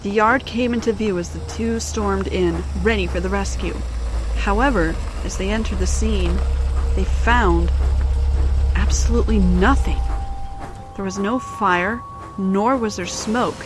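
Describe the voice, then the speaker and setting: A woman's voice: mid-range voice, a little slow, over music. A woman reading scripted storybook narration for an audio drama.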